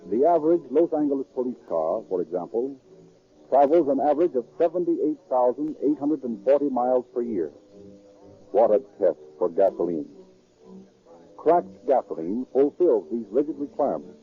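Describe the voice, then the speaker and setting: A man's voice: mid-range voice, expressive, moderate pace, slightly rough. Tinny 1930s radio announcer, male. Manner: formal, declamatory.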